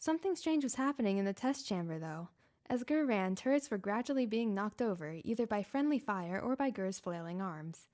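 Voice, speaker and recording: A woman reading a fan-written story aloud in a steady, plain narrating voice. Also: mid-range voice, brisk. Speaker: fanfiction reader.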